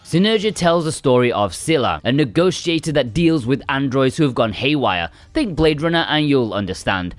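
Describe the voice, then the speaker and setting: A man reading a scripted video game review in a measured, explanatory way with lightly wry asides. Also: medium pitch, expressive, no echo.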